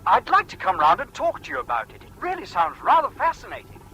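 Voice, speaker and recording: Excitable young English caller, hopeful, animated swoops, telephone effect on a faintly hissy old radio recording. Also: male voice, very high voice, quick pace, exaggerated voice, poor audio. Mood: eager. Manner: eager, seeking approval. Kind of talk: British radio drama acting.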